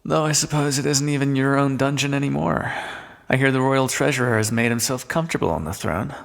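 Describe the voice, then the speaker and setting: A man playing a smug, sardonic thief in an audio roleplay, speaking with mocking mock-courtly politeness and drawn-out emphasis in a long echo. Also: medium pitch, vocal fry, lightly acted. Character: smug, sardonic male thief, gloating at a fallen monarch. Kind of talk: scripted audio roleplay, theatrical monologue. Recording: long echoing reverb.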